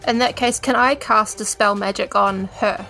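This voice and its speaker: A woman's voice: mid-range voice, fairly even intonation, normal speed, creaky, sharp tone. A woman chatting casually as a player in a tabletop role-playing game. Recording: music behind the voice. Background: background music.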